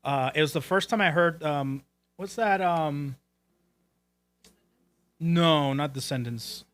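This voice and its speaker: A man's voice: fairly high pitch, takes it slowly, a bit bright. A man from Puerto Rico chatting casually as a talk show host, with loose, profane phrasing. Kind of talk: casual chat.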